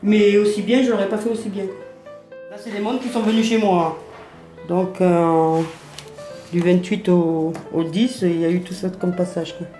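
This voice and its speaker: A woman from the south of France, a resident, chatting hesitantly about renovating her flat. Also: fairly low pitch, normal speed. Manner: hesitant, many fillers. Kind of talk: casual chat on a work site. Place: flat under renovation, indoors. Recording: echoey room from far off, music over.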